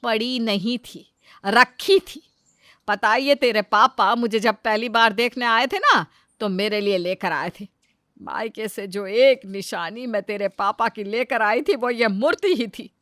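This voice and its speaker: A woman's voice: on the high side, measured pace, fairly bright tone, dry recording, studio quality. A woman playing a bossy, sentimental middle-aged mother-in-law in a comic family story, scolding with dramatic sarcasm. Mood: indignant, sentimental.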